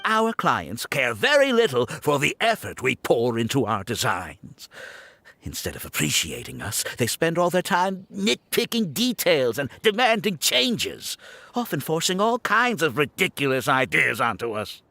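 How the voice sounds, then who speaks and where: An actor playing a smug, scheming architect in a video game, speaking in a condescending, self-justifying way. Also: male voice, on the high side, very animated, a little slow, creaky, pushed and bright, no room sound, heavily acted. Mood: smug, persuasive. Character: smug, scheming male architect running a scam academy. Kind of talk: theatrical video game voice acting. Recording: game audio with background music.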